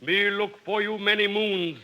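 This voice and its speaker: A solemn jungle messenger with a high, very sharp voice. He delivers halting, stilted broken English in the manner of old radio comedy. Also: steady tone, full character voice. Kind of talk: 1950s radio comedy acting.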